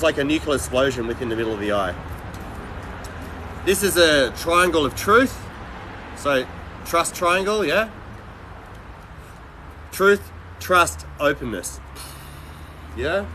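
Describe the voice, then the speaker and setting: Male livestreamer, middle-aged, higher voice, blunt and rambling casual delivery, clear traffic noise behind. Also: fairly bright tone, rough recording. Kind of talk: casual live chat with viewers.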